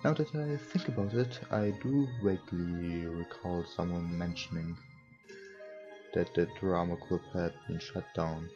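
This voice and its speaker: Low-voiced, slow young man, non-native English. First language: not English. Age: young adult. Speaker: gaming video host. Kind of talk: let's play, reading visual novel text aloud with casual comments. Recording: dull lo-fi mic, music behind. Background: game music, mouse clicks.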